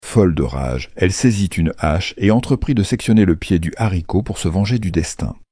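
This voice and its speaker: A man's voice: deep voice, expressive, fairly quick, warm tone, slightly rough, no room sound, studio quality. A man narrating a children's fairy tale from a script, as a storyteller.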